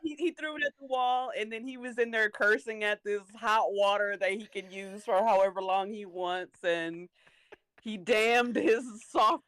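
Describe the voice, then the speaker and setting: A woman's voice: medium pitch, fairly even intonation, moderate pace, fairly bright tone, no room sound. A woman in a casual chat, giggly and playfully acting out bits as she talks. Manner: giggly, playfully mimics characters. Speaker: voice actor.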